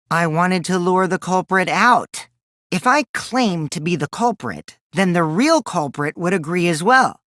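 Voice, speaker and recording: A man's voice: sits high, fairly bright tone, no echo, studio quality. An actor playing a mischievous, taunting teenage boy in a video game, theatrical and teasing, with a youthful boyish voice that can turn from cutesy to cold. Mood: sly, teasing. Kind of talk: animated game dialogue in a murder-mystery trial.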